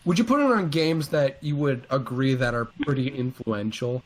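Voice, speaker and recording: A man of middling pitch chats casually about video games as a co-host. He is informal and gives his opinions freely, with a joking streak. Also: moderate pace, low-quality recording. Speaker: co-host of a video game series.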